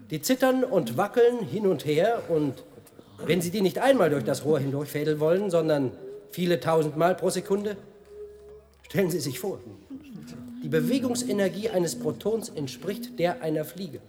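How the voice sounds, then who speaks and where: A formal male tour guide with a fairly high voice. He lectures visitors in measured, didactic phrases, in the manner of a science-fiction radio play. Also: expressive, dark tone. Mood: formal lecturing. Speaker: actor.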